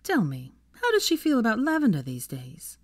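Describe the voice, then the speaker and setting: A sardonic young witch from a storybook, acted by a woman narrator. Her pitch lifts and swings widely, with a pointed edge to her words. The recording is dry and close. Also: wildly swooping pitch, normal speed, no room sound. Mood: bitterly sarcastic. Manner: sarcastic, pointed. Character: sardonic, cold-tempered young witch, weary and affectionate.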